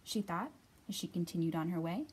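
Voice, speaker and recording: A woman's voice: lowish voice, lively intonation, fairly quick, darkish tone, husky. A woman in her thirties hosting a children's storytime, reading and talking expressively to young listeners as a librarian. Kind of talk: picture book read-aloud and storytime talk for young children.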